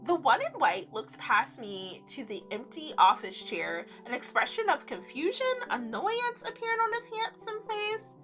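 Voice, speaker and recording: A woman reading a visual novel aloud as a gaming creator, expressive and giggly, with gushing casual asides. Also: sits high, normal speed, few pauses, soft background music. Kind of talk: playful let's play read-aloud with casual reactions.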